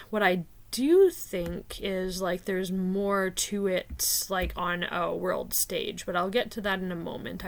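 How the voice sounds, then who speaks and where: A woman from Canada talking casually and thinking aloud, with frequent fillers and restarts. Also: on the low side. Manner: unhurried, hesitant, many fillers like "um" and "like". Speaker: video creator discussing rune poems. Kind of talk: casual spoken commentary.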